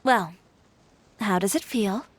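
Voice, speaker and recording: A woman's voice: mid-range voice, takes it slowly, no room sound. A woman voicing an assertive, self-possessed young fashion designer in an anime video game, cool and reflective in manner. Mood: reflective, relaxed.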